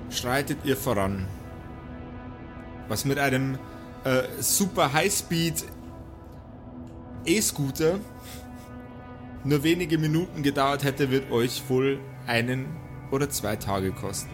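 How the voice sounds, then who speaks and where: A man improvising narration as game master of a tabletop audio game, with theatrical delivery and deliberate pauses. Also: medium pitch. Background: background music.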